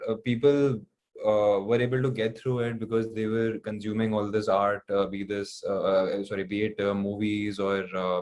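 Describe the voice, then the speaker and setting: A young man with an Indian accent answering interview questions casually and haltingly, circling back over his words. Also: on the low side, slight background noise. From New Delhi, India. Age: young adult. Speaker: singer-songwriter guest.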